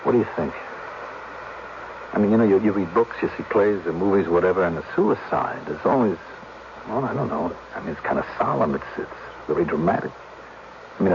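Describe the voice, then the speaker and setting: A low-voiced, earnest man, animated delivery. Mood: earnest, searching. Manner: halting, reflective, repeating himself for emphasis. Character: earnest, compassionate man musing sadly on hard life. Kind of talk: dramatic radio acting. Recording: dull, noisy old radio recording.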